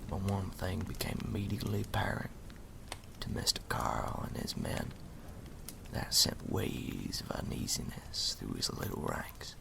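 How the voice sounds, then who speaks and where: A man playing a wry animal storyteller in a campfire ASMR roleplay, speaking slowly and ominously in a gravelly half-whisper. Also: low voice, huge pitch swings, background noise, full character voice. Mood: ominous storytelling. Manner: slow, deliberate, folksy, ominous.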